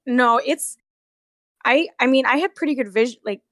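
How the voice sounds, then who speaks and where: Higher-voiced woman chatting and storytelling casually. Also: normal speed, a bit bright, dry recording, clean studio sound. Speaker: guest, costumed theme park character performer. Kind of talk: casual chat, storytelling with swearing. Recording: amateur recording with bad audio.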